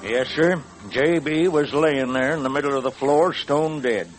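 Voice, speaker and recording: An actor playing a blunt, commanding sheriff with an American Western accent in an old radio western. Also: male voice, on the high side, normal speed, soft background music, close to an everyday voice, low-quality recording. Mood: grave. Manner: folksy, firm.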